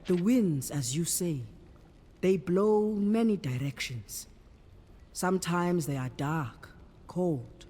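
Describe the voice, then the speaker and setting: A woman playing a wise, commanding priestess in a fantasy game, speaking in a solemn, measured way. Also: on the low side, lively intonation, warm tone, breathy edge, full character voice. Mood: solemn, assured. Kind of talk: cinematic fantasy game acting. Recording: long hall-like reverb.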